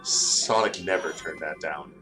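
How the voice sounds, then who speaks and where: A man's voice: extremely low pitch, unhurried, bright, forceful tone, faint music behind, low-quality recording. A tired man co-hosting and reading fan fiction aloud in a casual way, stumbling over words and adding joking asides. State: tired, short of sleep.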